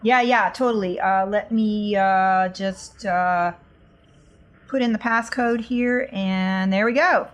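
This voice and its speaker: A woman's voice: medium pitch, slow. A woman playing a tabletop role-playing game, speaking casually in character.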